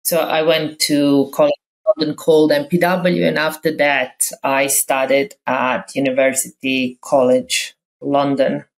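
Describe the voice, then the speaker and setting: A woman from Croatia in her fifties, speaking English as a later language, talks through her background as a podcast guest. Her voice sits very low for a woman. She is reflective and stops often with fillers and restarts. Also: little pitch movement, measured pace, rough recording. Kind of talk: casual interview talk.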